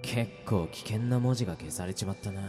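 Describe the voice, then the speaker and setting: A man's voice: lowish voice, a little fast, music in the background, natural voice. An actor playing a flippant, taunting male killer in a death-game radio drama. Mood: sly, casual.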